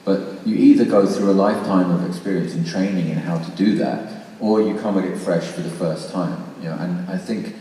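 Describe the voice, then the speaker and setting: Deep voiced guy giving thoughtful panel comments, really echoey hall, mic far off. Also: moderate pace, warm tone. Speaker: musician on a discussion panel. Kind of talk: spoken panel commentary, informal. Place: large reverberant room at a public discussion. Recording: very echoey hall, distant mic, faint noise.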